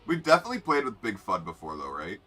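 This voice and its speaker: A man's voice: lowish voice, huge pitch swings, fast, sharp tone. A young man streaming a racing game, rambling and joking as he reads out player names, with faint music behind. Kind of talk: casual live game commentary.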